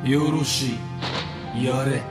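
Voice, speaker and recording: A man acts a haughty evil emperor in a radio drama. He speaks slowly and with pompous menace. His voice echoes over loud music. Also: medium pitch, fairly even intonation, lightly acted. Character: haughty evil male supreme ruler of invading empire. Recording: echoing, loud music behind.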